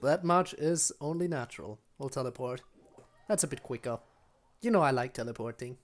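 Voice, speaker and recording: A woman's voice: extremely low pitch, animated, moderate pace. A woman streaming a video game, giving casual, offhand commentary as if half talking to herself while she plays. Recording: game music behind the voice. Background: video game music.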